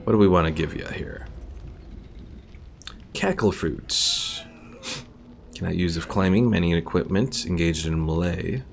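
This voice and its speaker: A man's voice: low voice, a little fast, vocal fry, few pauses, darkish tone. A man giving casual game commentary as a streamer, thinking aloud and reading game text out. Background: game music.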